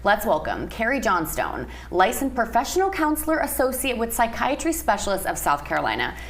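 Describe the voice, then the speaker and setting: A woman's voice: a little high, wildly swooping pitch, fairly quick, gravelly. A woman hosting a broadcast news interview, speaking as a TV anchor to a guest.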